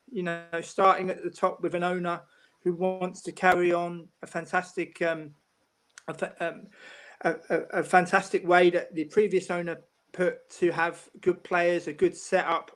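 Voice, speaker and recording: A man with a notably high-pitched voice talks football as a guest pundit. He explains his points in a measured way and often repeats a word while gathering his thoughts. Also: flat delivery. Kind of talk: casual football discussion.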